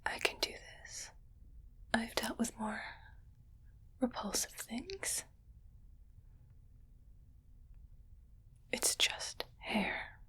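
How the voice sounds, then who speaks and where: A woman voicing a condescending female alien in an ASMR roleplay, fascinated and disgusted by humans, in a breathy, deliberate, haughty whisper. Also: fairly high pitch, lively intonation, sharp tone, heavily acted. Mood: squeamish disgust.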